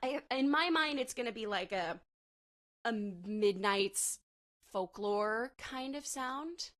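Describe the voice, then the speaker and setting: A young woman from Texas co-hosting a casual podcast, gushing and excitable as she chats about pop music. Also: on the high side, slow. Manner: excitable, gushing fan talk with laughs. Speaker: podcast co-host. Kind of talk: casual chat.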